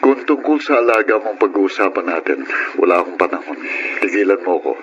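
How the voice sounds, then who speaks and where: A man's voice: sits low, expressive, a little fast, muffled recording. A man playing a bitter, grieving widower and hard father, stubborn and curt, in a Tagalog radio drama. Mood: angry, curt. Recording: faintly noisy radio drama audio.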